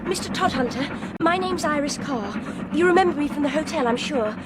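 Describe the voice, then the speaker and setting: A woman's voice: sits high, lively intonation, a little fast. An actress playing an insistent, anxious young Englishwoman on a train in a radio drama. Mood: urgent, pleading. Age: young adult. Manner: urgent, determined. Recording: noisy train ambience behind voice.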